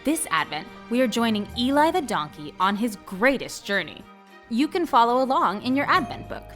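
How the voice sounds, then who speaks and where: Lively, higher-pitched woman announcer. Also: moderate pace, soft background music. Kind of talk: scripted intro for children's audio drama.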